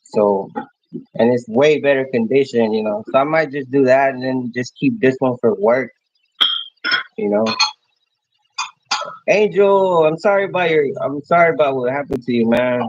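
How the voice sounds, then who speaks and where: A man in his thirties from Mexico, hosting a casual livestream and answering viewers in a rambling, halting way, restarting his phrases often. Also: mid-range voice, lively intonation, muffled recording, noisy background. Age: 30s. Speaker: livestream host.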